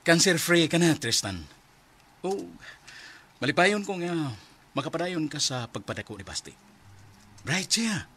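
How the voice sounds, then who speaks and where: A man acting a kind, devout benefactor in a radio drama, speaking in a warm, reassuring, expressive way. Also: a little high, unhurried, pauses often, husky, heavily acted. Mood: glad, caring. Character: kind, generous, devout male benefactor, gently encouraging.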